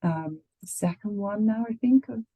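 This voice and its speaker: Woman astrologer, sick and croaky, casual and chatty, lots of ums. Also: medium pitch, moderate pace, no room sound, dull audio. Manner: chatty, laughs often, many ums. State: croaky from the flu. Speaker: astrologer co-host.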